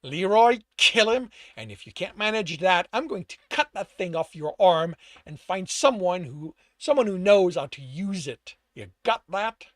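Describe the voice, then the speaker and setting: A man's voice: a little high, expressive, measured pace, few pauses, pushed and bright, no room sound, clean studio sound, full character voice. A man voicing a menacing, short-tempered rat gang boss in a fantasy story, growling out threats. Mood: furious. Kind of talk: storybook character dialogue.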